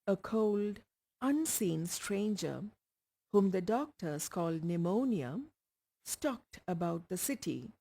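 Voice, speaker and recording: A woman with an Indian accent reading a short story aloud, careful and expressive. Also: mid-range voice. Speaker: story reader. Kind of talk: scripted story narration.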